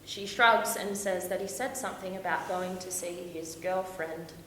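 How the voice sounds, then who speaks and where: Woman playing young female traveller in stage monologue: confiding, expressive, bright mid-range voice, roomy sound. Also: fairly low pitch, natural voice. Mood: hurt, deflated. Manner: confiding, expressive, with dramatic pauses. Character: young Australian woman backpacker, dreamy, lovestruck, heartbroken, homesick. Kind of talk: theatrical stage monologue, storytelling to an audience. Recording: echoey room with faint noise.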